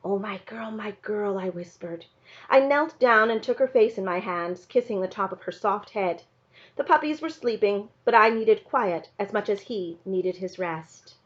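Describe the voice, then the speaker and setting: A woman narrates a story as a read-aloud, with expressive storybook phrasing. Her voice sits at a middle pitch. The recording is a little dull and lo-fi. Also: moderate pace.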